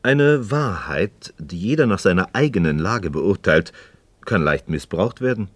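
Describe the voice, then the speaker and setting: An actor playing a sceptical, curious friend in a philosophical radio play, reflective and expressive, wary and questioning. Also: male voice, sits low, a little slow, dry recording. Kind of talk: German radio play dialogue acting.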